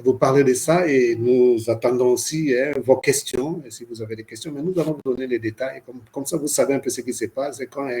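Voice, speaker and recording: A man speaking as a priest and co-host, explaining things conversationally to viewers in a halting, repetitive way with frequent verbal tags. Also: mid-range voice, darkish tone.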